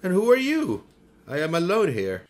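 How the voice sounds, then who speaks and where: A man's voice: high-pitched, wildly swooping pitch, moderate pace. An actor playing a haughty, smug male mystic who scorns his enemy, in 1930s-style radio drama acting. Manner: mocking, pompous, laughing.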